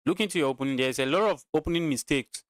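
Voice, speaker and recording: A man's voice: on the high side, fast, no room sound. A man from Nigeria with a Nigerian accent, giving casual live commentary on his own game as a streamer, thinking aloud, repeating phrases and chatting to viewers. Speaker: chess streamer.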